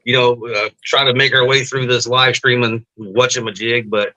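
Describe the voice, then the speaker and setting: Bright-voiced man hosting a live stream. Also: medium pitch, level tone, moderate pace, low-quality recording. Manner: chatty, many fillers. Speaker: host of a live testimony show.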